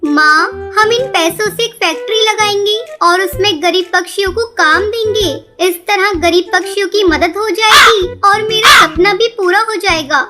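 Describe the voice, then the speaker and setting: A woman's voice: very high-pitched, normal speed, hardly any pauses, music in the background. A woman voicing an eager, girlish young bird daughter in a children's cartoon, speaking earnestly. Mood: joyful, eager. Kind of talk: Hindi children's cartoon voice acting.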